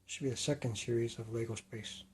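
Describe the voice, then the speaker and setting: Rough-voiced man rambling casually. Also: mid-range voice, fairly even intonation, brisk, a little mellow, slight background noise, rough recording. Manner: rambling, self-correcting. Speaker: hobbyist showing his model city. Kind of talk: casual talk-through.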